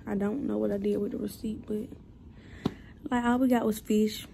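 A woman's voice: mid-range voice, little pitch movement, moderate pace, gravelly, warm tone. A young woman vlogging casually from a phone held at arm's length, blunt and teasing in her talk. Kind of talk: casual vlog chat. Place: grocery store, then home. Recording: noisy phone recording.

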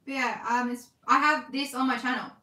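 A young Australian woman chatting casually on a livestream from her sewing room, reading comments, talking fast and laughing often. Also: high-pitched, steady tone, pushed and bright, echoey room. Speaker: livestream host, scrunchie maker. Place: home sewing room, indoors.

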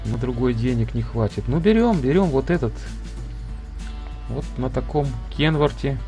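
A hoarse man talking casually to viewers as he plays a truck driving game, thinking aloud as he goes. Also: mid-range voice, lively intonation, normal speed, dark tone, faint music behind. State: hoarse, off voice today. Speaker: gameplay video creator. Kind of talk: casual let's-play commentary.

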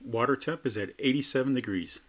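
A man's voice: medium pitch, brisk, very noisy. A man narrating a plain, matter-of-fact home test of laundry detergents as a product reviewer.

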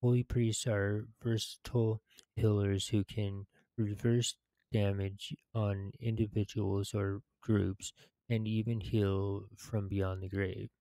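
A man in his thirties reads game text aloud with halting phrasing. His voice is low and gravelly, and he takes it very slowly. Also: warm tone, dry recording. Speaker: gamer reading quest text aloud. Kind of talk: read-aloud narration of game quest text.

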